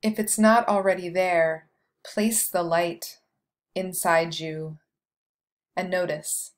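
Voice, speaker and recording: A woman leading a guided meditation, speaking slowly and softly with long pauses between phrases. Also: fairly low pitch, fairly even intonation. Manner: slow, soft, soothing delivery with long pauses.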